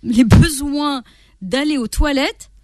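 A woman with a fairly high voice, whose first language is Arabic, talks as a guest on a radio show. She explains parenting advice with animation and acts out everyday scenes. The sound is dry and close. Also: slow, no echo. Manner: lively, animated, acts out parents' and children's quotes.

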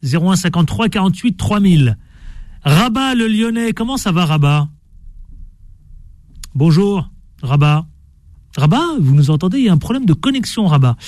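A man hosting a live radio phone-in show, brisk as he announces the time and the phone number and prods his callers. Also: high voice, sing-song, darkish tone, no echo. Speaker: radio talk show host. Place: radio studio. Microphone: studio microphone.

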